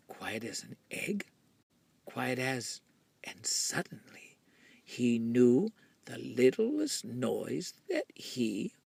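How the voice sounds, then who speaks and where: A man reading a picture book expressively to children, breathy and often near a whisper, with drawn-out, dramatic delivery. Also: medium pitch. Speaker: children's story reader.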